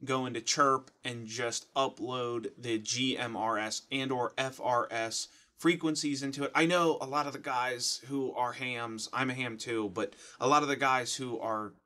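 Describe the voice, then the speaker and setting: A man's voice: mid-range voice, fairly even intonation, moderate pace, few pauses, sharp tone. A man in his thirties with an American accent, giving a casual, plain-spoken explanation to camera as the host of a how-to channel. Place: small furnished room at home, indoors.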